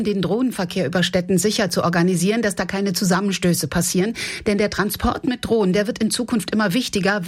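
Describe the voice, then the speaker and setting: A woman radio reporter giving a clear, explanatory report. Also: medium pitch. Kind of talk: scripted report.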